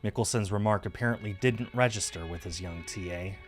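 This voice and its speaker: Male streamer as visual novel narrator, low register, soft musical backing. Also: fairly quick, soft background music. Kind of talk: scripted story narration.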